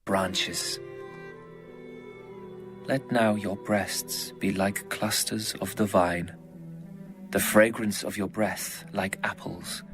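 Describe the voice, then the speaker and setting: A man reading as a tender, adoring biblical lover in a measured, poetic dramatized audio Bible style. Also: sits low, fairly even intonation, over music.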